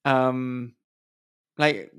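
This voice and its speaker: A man's voice: mid-range voice, very slow, fairly bright tone, clean tone. A man hosting a casual podcast interview in a jokey, rambling way, often chuckling through his phrases. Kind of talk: casual, joking interview chat.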